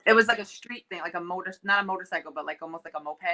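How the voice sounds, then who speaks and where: A woman's voice: lowish voice, racing, bright, forceful tone. A middle-aged woman giving brief, hesitant, casual replies as the client in a reading.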